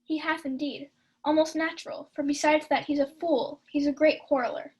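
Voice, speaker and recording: A sharp-witted maid in a radio Shakespeare play, played by a woman. Her voice is high, and she scolds in period English with a no-nonsense manner. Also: steady tone, normal speed, lightly acted. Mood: scornful. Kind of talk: student Shakespeare radio acting.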